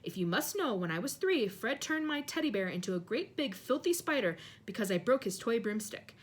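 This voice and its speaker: A woman reading aloud as a jumpy schoolboy, gruff and tense in manner. The voice rises and falls with lively movement in a storybook reading. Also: a little high, fairly quick.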